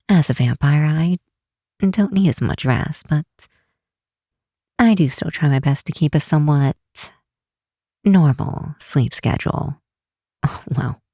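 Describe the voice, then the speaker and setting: An affectionate, bookish vampire researcher, played by a woman for an ASMR roleplay. The voice is mellow and very close and dry, with no room sound. The delivery is slow, tender and lightly teasing, with thoughtful hesitations. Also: low-pitched, dry recording, heavily acted. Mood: gentle, wistful. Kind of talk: ASMR roleplay, one side of a late-night phone call.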